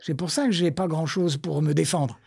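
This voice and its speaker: A man's voice: fairly high pitch, moderate pace, gravelly, a little mellow, breathy edge. A man. He speaks as an academic being interviewed, wandering between anecdotes. He repeats phrases for emphasis and plays himself down. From France. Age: 70s. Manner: digressive, self-deprecating, emphatic repetitions. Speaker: interview guest, academic researcher.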